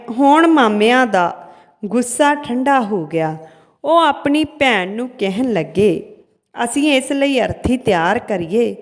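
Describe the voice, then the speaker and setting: Woman, folk tale narration, unhurried halting pace, fairly high pitch, long hall reverb. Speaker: storyteller.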